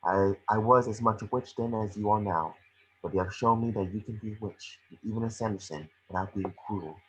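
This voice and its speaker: A man reading the part of a gentle, remorseful witch ghost mentor in an amateur table read, earnest and measured. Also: on the low side, a little hiss or hum, lightly acted, rough recording. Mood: wistful.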